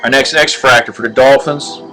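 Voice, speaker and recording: A middle-aged man talks through a live card break in a flat, steady monotone. His voice sits at an ordinary pitch and stumbles over fillers and restarts. Clear music plays in the background of the stream. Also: low-quality recording. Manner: monotone, halting with many fillers. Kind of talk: casual live-stream commentary.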